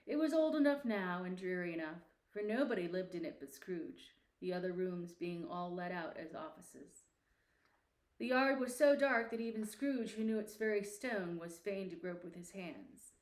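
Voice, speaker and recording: A woman reading a classic story aloud as narrator, with expressive, theatrical, deliberate phrasing. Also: on the low side, echoey room, poor audio. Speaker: audiobook narrator.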